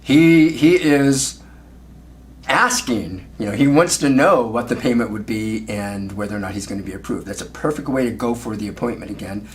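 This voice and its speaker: Male sales coach, mid-pitched, instructive, giving call critique with praise. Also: moderate pace, a little hiss or hum. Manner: instructive, gives praise, often ends with check questions. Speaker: automotive sales trainer and coach. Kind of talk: coaching commentary on a phone call.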